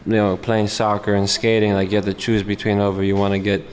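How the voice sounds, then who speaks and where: Young male guest, Spanish first language, low voice, slangy and relaxed, hall echo. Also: quick pace, slight background noise. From California. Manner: laid-back slangy talk, lots of fillers. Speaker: guest skater. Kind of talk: casual interview chat. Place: live event hall. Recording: reverberant hall.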